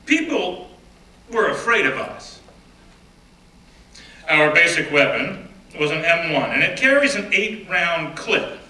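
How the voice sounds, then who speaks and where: A man's voice: fairly high pitch, very animated, slow pace, long pauses, sharp tone. Man reading aloud in an echoey hall. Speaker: reader at a dramatic reading. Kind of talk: first-person dramatic reading of an oral history. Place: stage or event room. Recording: roomy, distant mic with faint background noise.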